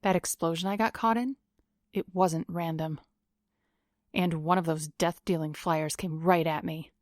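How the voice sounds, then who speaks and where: A woman's voice: fairly low pitch, steady tone, unhurried, no room sound, clean studio sound, lightly acted. An actress playing a tough, sardonic woman vigilante in an audio drama, with a cool, commanding manner.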